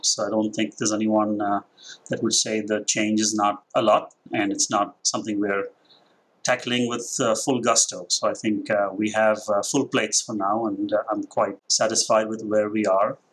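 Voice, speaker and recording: A man in his forties with an Indian accent softened by years abroad, answering podcast questions about his business. His voice sits low and slightly husky, and he thinks out loud with frequent uh's and restarts. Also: flat delivery, low-quality recording. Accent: Indian, with a mix of international influences. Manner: measured, thoughtful, with frequent uh fillers and restarts. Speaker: company division president. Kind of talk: corporate podcast interview.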